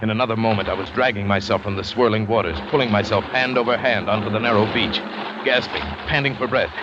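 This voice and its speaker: A man's voice: lowish voice, fast talker, background noise, lightly acted. An actor playing a shipwrecked American big-game hunter who narrates his ordeal dramatically in an old-time radio drama. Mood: desperate, relieved. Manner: dramatic, vivid storytelling. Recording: dull vintage radio recording, music behind.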